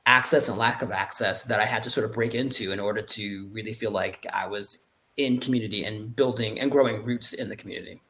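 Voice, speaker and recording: A man from Roxbury in Boston, co-hosting a podcast and reflectively telling a personal story in a relaxed, conversational way. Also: fairly low pitch, brisk. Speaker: podcast co-host.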